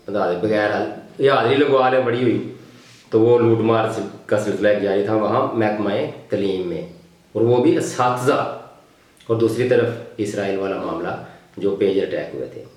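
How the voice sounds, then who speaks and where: A man's voice: lowish voice, moderate pace, a little mellow. Pakistani man commenting in a very echoey room. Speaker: news commentary vlogger. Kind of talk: unscripted political commentary monologue.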